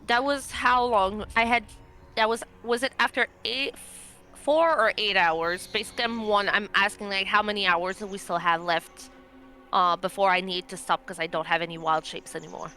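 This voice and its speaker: A woman playing a tabletop roleplaying game, talking casually and informally with some halting phrasing. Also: medium pitch, pushed and bright.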